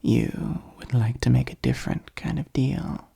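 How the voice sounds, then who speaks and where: Breathy, whispering possessive demon played by a performer. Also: mid-range voice, fairly even intonation, dark tone, full character voice. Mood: measured, fond. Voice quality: breathy, husky, much of it whispered. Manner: slow, formal, deliberate, intimate. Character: possessive demon ruler of hell, softly devoted. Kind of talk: ASMR roleplay monologue.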